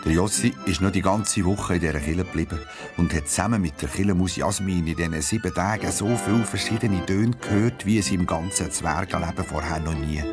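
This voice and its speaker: A man narrates a children's story in a low-pitched voice. He has a Swiss German accent and delivers scripted storytelling, with music clearly present in the recording. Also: fairly quick.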